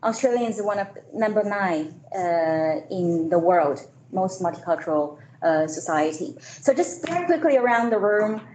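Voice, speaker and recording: A woman's voice: medium pitch, lively intonation, moderate pace, hardly any pauses, a little mellow. A woman from China and Malaysia giving an informal business presentation to a meeting. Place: meeting room, hybrid event. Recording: echoey room, faint noise.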